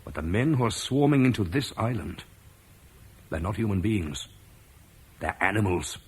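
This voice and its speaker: An actor playing Marcus, a duty-bound Roman officer, in an old radio play, earnest and measured. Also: male voice, on the low side, very animated, darkish tone, a little hiss or hum. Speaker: Roman commander leaving Britain. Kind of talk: 1950s BBC radio drama acting.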